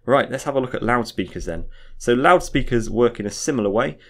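A man's voice: mid-range voice, animated, brisk. A man teaching in an informal explainer style, going step by step, repeating points and often checking in with a short tag. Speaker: physics teacher. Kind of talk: informal explainer lesson, talking through a diagram.

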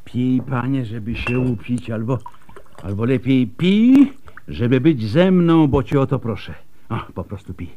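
Radio drama doctor, male: wry and tipsy, unhurried yet very animated, husky and theatrical. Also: mid-range voice, muffled recording, slight background noise. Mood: boisterous. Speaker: actor in a radio play.

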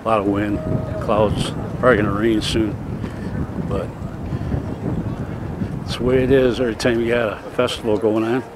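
A man's voice: on the low side, level tone, normal speed, pauses often. An older man giving rambling, plain-spoken, casual commentary as he walks around with a camera. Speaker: vlogger. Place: busy outdoor fairground and market buildings. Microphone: handheld camera mic, held by speaker. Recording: windy, noisy outdoor camcorder audio.

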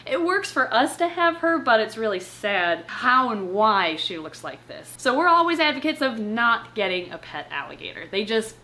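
A young woman hosting in a casual, chatty way, with an expressive, playful manner. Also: fairly high pitch, normal speed, hardly any pauses. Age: young adult. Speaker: host of a reptile channel. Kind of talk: casual chatty presenting with read-aloud passages. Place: living room at home, on a couch. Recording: clear background room noise.

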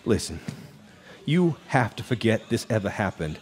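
An actor playing a haunted, world-weary bar singer, brooding and halting, in a live radio drama. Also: male voice, on the low side, very animated, slightly rough. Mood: anguished.

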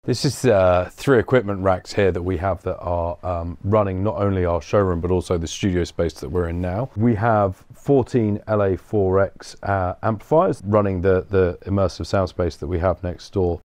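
Male showroom guide, thirties, low-pitched, informal explanatory talk, conversational, dry indoor recording. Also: moderate pace, hardly any pauses, a little mellow, no room sound. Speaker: showroom guide, company representative. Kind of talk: informal technical explanation on a tour. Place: indoor audio showroom and studio room.

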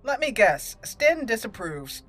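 A woman's voice: fairly high pitch, big pitch swings, unhurried, fairly bright tone, faint music behind. A woman doing casual, chatty let's play commentary with some roleplay, playful in manner. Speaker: gaming video host.

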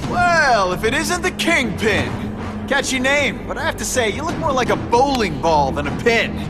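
Casting: young masked game superhero, high bouncy voice, sarcastic quips, music behind. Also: male voice, sing-song, normal speed. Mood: taunting. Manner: quippy, sarcastic, taunting. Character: young wisecracking masked male superhero, cocky and brave. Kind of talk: video game voice acting.

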